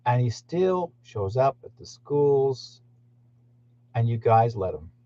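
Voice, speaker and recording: A man's voice: mid-range voice, big pitch swings, takes it slowly, warm tone. A man who hosts a channel, rambling indignantly in a monologue and speaking straight to his listeners. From Canada. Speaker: channel host. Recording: muffled lo-fi recording.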